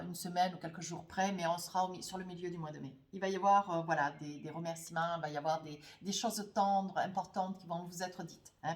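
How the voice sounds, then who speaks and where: Woman with a cold, tarot reading, hesitant rambling delivery, frequent filler tags, mid-pitched voice. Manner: rambling, hesitant, full of "hein" and "voyez" tags. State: has a cold, runny nose. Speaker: tarot card reader. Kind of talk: spoken tarot reading to viewers.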